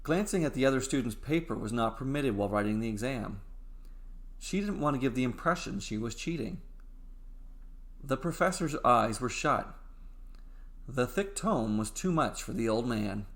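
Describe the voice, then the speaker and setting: A man narrating a scripted story in a dramatic, deliberate way, with pauses between phrases. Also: medium pitch, lively intonation, a bit bright.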